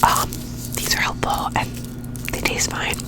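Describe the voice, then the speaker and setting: Young female ASMR whisperer, congested and nasal, slow and breathy, right on the mic. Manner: soft rambling whisper, playfully repeats words. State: stuffy and nasal from a cold, sore throat. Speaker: ASMR creator. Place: home bedroom with dim warm lamp. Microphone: very close sensitive mic, gain turned up high.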